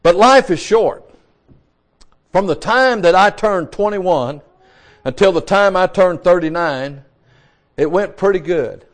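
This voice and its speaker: A man's voice: high voice, animated, slow pace, long pauses. A man in his sixties, a pastor, preaching a sermon in a folksy, repetitive way, restarting his words. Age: 60s.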